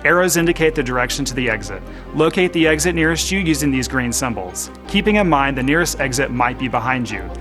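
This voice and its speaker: A man's voice: mid-range voice, lively intonation, fast talker. A man narrating scripted airline safety instructions. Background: music.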